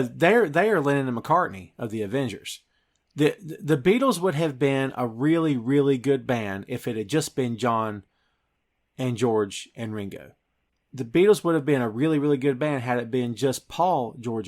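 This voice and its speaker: Enthusiastic male podcast host. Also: mid-range voice. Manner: enthusiastic, recapping scenes with a laugh. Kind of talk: casual film review chat.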